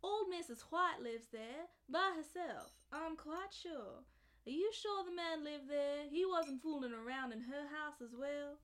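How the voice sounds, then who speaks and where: A woman's voice: high-pitched, unhurried, a bit bright. A woman playing an anxious, secretive and polite client in a noir radio drama, with a fretful manner. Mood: uneasy, insistent. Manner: fretful, breaking into pleading.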